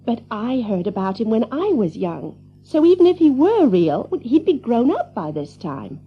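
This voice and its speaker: A woman's voice: fairly high pitch, very animated, normal speed, slightly rough, lo-fi sound, heavily acted. A woman playing a sweet, genteel, wondering mother in a children's audio drama. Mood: gently questioning.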